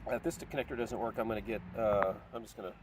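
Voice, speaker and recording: A man's voice: fairly low pitch, steady tone, fast, slight background noise. A middle-aged man and father, chatting upbeat as he explains a hands-on DIY project. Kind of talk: casual hands-on project narration.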